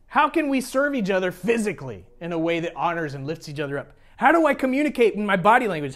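A man's voice: sits high, a bit bright. An American man preaching as a pastor in a conversational teaching style, informal, repeating phrases for emphasis and slipping in joking asides. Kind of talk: sermon, teaching a congregation conversationally.

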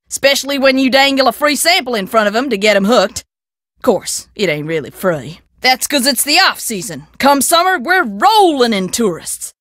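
A woman's voice: a little high, a bit bright, no room sound, clean studio sound. A woman voicing a sassy, bossy frontier shopkeeper with a drawling American country twang in a video game. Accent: rustic American country twang. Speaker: keeper of an old-time museum and taffy shop. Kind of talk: video game character dialogue.

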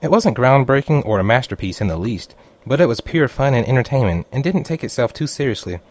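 Man, medium pitch, scripted commentary, reviewer voice-over. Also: animated, brisk. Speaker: anime reviewer.

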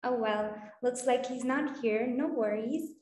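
A cheerful young woman with a fairly high voice hosts a children's online session. She is encouraging as she explains, and the video call sounds echoey, as from a bare room. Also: measured pace, darkish tone. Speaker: host of children's online storytime. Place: indoor room with bare wall. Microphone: video call, computer microphone. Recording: roomy, echoing video call.

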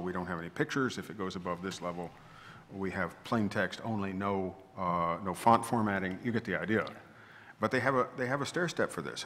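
Low-voiced man on a conference panel. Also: a bit bright. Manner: thoughtful, digressive, with restarts. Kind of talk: panel discussion. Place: large conference hall. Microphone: table microphone. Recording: reverberant conference hall.

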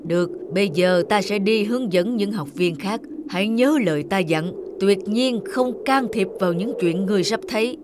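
A woman's voice: medium pitch, normal speed, hardly any pauses, background noise, close to an everyday voice. A woman voicing a cold old master Grim Reaper in a cartoon, solemn and commanding. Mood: cold, stern. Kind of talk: animated fairy-tale dub.

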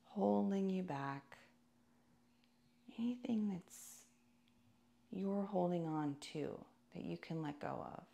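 Female meditation guide: very unhurried, soothing delivery, noticeable vocal fry, deliberate phrasing. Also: fairly low pitch, dark tone. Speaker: yoga and meditation teacher.

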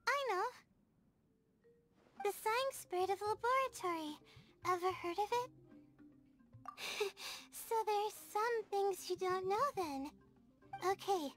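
A woman voicing an anxious, sleep-deprived young student in a video game, sweet and timid, with a hesitant, stammering delivery. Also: extremely high pitch. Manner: hesitant, stammering, self-doubting. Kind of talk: video game character dialogue. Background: soft game music.

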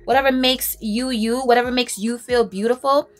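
A woman's voice: on the high side, fairly even intonation, moderate pace. A woman, chatting casually and emphatically while doing her makeup in a home closet. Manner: chatty, emphatic, self-assured, laughs often. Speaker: beauty vlogger. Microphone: camera on a stand, close.

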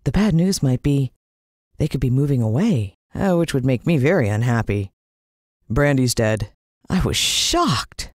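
An American woman narrating a children's story in the first person, expressive and suspenseful. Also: extremely low pitch, normal speed, no room sound, studio quality. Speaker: audiobook narrator.

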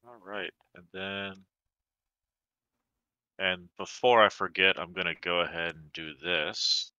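Male card gamer, deep and very sharp-toned, thinking aloud casually over dry voice chat. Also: fairly even intonation, slow, clean tone, no echo. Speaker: card game player. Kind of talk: casual chat while playing an online card game.

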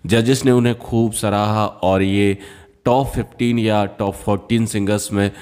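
A man's voice: fairly low pitch, fairly even intonation, normal speed, darkish tone, clear, resonant tone, long reverb tail. A man giving casual promotional commentary on a singing show as a video narrator, addressing viewers as friends. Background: music.

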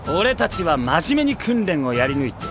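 A man's voice: on the high side, very animated, moderate pace, music in the background. A man playing a brash, cynical teenage military trainee in an anime game, scornful and combative. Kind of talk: Japanese anime game voice acting.

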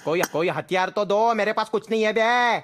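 A man's voice: high voice, moderate pace. Comic man calling out, noisy game audio. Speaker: streamer recording game voice-pack callouts. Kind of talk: playful scripted game callouts in street slang.

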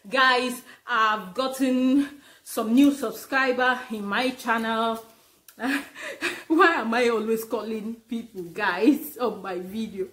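Slow-talking, laughing woman vlogger. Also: on the high side. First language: not English. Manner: laughing often, expressive, lively. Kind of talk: casual channel intro to camera. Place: living room indoors.

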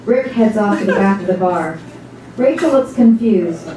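A woman playing the storyteller in a staged dramatic reading, narrating in a measured, literary way. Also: medium pitch, lively intonation, dark tone, natural voice. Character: observant storyteller narrating a bar scene. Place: small stage before a seated audience. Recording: very echoey hall, distant mic, faint noise.

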